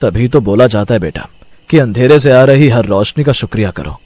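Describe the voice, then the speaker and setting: A man voicing a patient, reassuring father in a cartoon story, speaking in a measured way. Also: medium pitch, lively intonation, darkish tone, lightly acted. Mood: gentle, advising. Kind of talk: cartoon moral story acting.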